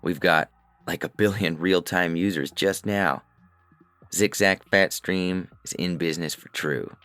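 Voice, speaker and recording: A man's voice: low-pitched, unhurried, a bit bright, clear, resonant tone, dry recording. A man reading scripted first-person science fiction in a wry, conversational storytelling way. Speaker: narrator and reader.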